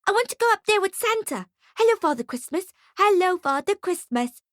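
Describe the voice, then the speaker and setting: A woman voicing an excited, wide-eyed small boy with eager childlike exclamations in an audiobook story. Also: very high voice, brisk, no echo, clean studio sound, voice pushed up, exaggerated voice. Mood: gleeful.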